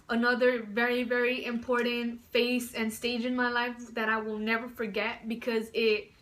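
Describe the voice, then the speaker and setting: A woman's voice: fairly high pitch, sharp tone. A woman in her early twenties, raised in Southern California and born in Mexico, talking enthusiastically to camera in a rambling way, with many fillers and restarts, from indoors at home. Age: early 20s. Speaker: vlogger. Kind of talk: casual talk to camera.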